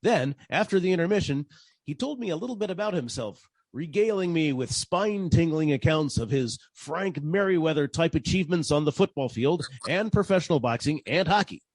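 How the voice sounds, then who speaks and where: An actor playing a weary, sarcastic private detective with a husky voice and an exasperated manner, in a 1940s style radio comedy. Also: male voice, fairly high pitch, animated, a little fast, dry recording. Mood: wry narration.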